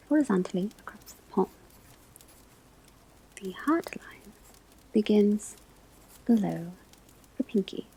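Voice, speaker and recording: A woman playing a gentle witch-school divination teacher in an ASMR roleplay, whispering breathily as she gives measured instruction. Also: mid-range voice, breathy edge, heavily acted, low-quality recording. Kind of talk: ASMR classroom roleplay lecture.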